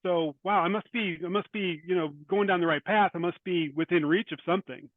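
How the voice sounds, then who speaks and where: Higher-pitched man, thoughtful and halting. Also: fairly even intonation, no echo, phone-quality sound. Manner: thoughtful, halting, repeats words. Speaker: guest, short fiction writer. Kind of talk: interview conversation.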